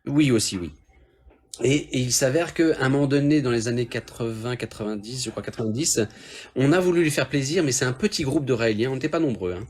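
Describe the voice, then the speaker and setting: A man's voice: medium pitch, lively intonation, brisk, breathy edge. A man talks in a casual debate, stumbling through restarts and fillers as he defends his position. His delivery is hesitant but earnest. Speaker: Raëlian guest in a debate.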